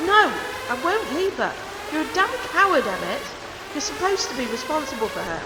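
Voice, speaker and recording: A woman's voice: high-pitched, very animated, normal speed, roomy sound, very noisy. A woman playing a desperate, defiant young crew member in an audio drama, speaking with pleading urgency.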